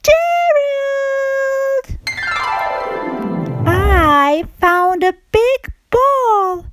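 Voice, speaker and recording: A woman voicing an excitable, easily upset little female pig in a children's storybook, squealing and whiny. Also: very high voice, pitched above the performer's own voice, heavily acted. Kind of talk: children's storybook read-aloud.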